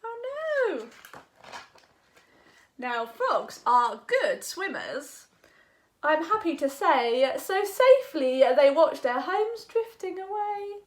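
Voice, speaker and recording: A woman reading a rhyming picture book aloud to children in an expressive, engaging way. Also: very high voice, slow pace. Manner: expressive, asks the young listener questions. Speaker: children's storyteller.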